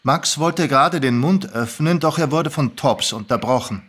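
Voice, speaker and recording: A man narrating a story as an expressive scripted reading. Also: a little high, moderate pace, breathy edge.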